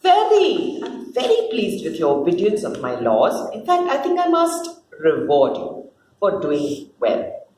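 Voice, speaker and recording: A woman's voice: medium pitch, wildly swooping pitch, unhurried, a little mellow. Woman voicing a regal female God, echoey room. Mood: pleased, gracious. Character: God, grand female creator, regal, indulgent, impatient. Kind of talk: dramatic play reading. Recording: roomy echo with faint noise.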